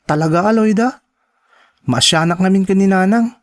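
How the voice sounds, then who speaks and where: An actor with a Filipino accent playing an earnest, determined young college student in a radio drama, with an imploring manner. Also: male voice, sits high, moderate pace, lightly acted. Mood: earnest, confiding. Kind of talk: Ilocano radio-style drama acting.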